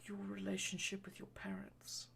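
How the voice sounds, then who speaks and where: A woman voicing a meek, anxious young nobleman who speaks softly and apologetically. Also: mid-range voice, completely flat delivery, moderate pace, gravelly, whispered, voice pushed up. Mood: hushed, ashamed. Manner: soft, apologetic and hesitant.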